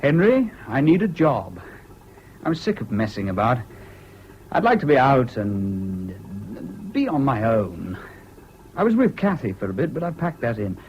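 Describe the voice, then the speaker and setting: A restless, aimless fellow in his thirties in a radio play. He talks plainly and informally in a mid-pitched voice that moves widely up and down. The old recording is muffled. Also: sing-song, unhurried, a little hiss or hum. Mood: weary, restless. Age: 30s. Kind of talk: radio drama acting.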